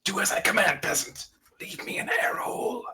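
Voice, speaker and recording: Man acting a hoarse, hissing, bossy knight. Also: sits high, lively intonation, a little fast, pushed and bright, heavily acted. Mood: indignant, commanding. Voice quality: breathy, half-whispered. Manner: urgent, commanding. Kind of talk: table read of a comedy film script.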